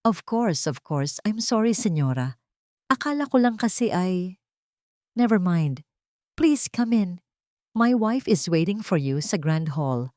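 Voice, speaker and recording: A woman's voice: fairly low pitch, very animated, slow, pauses often, mellow, dry recording, studio quality. A woman voicing a nervous, two-faced husband in an audio drama story, flustered and falsely polite. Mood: flustered politeness.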